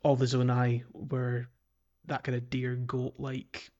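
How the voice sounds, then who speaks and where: A man with a medium-pitched voice talks casually as a podcast host. His delivery is conversational and somewhat halting, as if he is working out each thought while he speaks. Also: completely flat delivery, a little mellow, highs cut off. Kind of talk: casual video game discussion.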